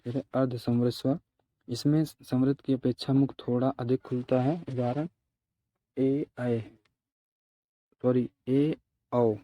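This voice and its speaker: A man's voice: mid-range voice, fairly even intonation, takes it slowly, pauses often, no room sound, muffled recording. A man teaching in a methodical way, reading out lesson notes and explaining them, repeating key terms and examples.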